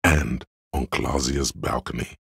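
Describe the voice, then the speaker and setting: A man voicing a detective's inner logic in a video game, cold, analytical and condescending, speaking in a measured, deliberate way with a deep, husky, gravelly voice. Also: steady tone, fairly bright tone, no room sound, studio quality, heavily acted. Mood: intent, pressing. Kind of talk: scripted game narration.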